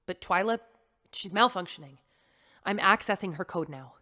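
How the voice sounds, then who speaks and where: A woman's voice: mid-range voice, moderate pace, sharp tone, natural voice. An actress playing an anxious, earnest programmer mother in an audio drama. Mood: worried. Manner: earnest, pleading. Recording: long hall reverb with music.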